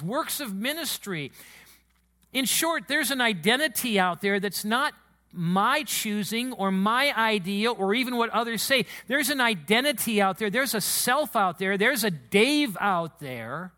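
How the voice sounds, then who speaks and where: A pastor preaching a sermon in his church, his booming voice sitting high with a bright, forceful edge. He is emotional and quick to get excited as he speaks to the congregation. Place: church sanctuary.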